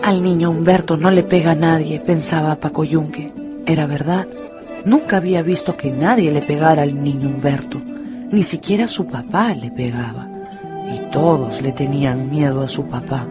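Low-voiced woman narrating over music. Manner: measured, expressive storytelling. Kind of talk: dramatized storybook narration for radio.

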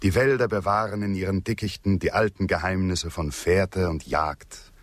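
Man playing earnest loyal frontier guy in a radio play, deep grave voice, poetic lines, no room sound. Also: fairly even intonation, normal speed, no room sound, natural voice. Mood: earnest reassurance. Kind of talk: German radio drama acting.

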